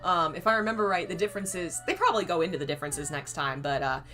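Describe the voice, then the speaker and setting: A hoarse woman reading a novel aloud on her channel, chatty and fond when she talks to viewers. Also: on the low side, fast talker, sharp tone, faint music behind. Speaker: reader and channel host.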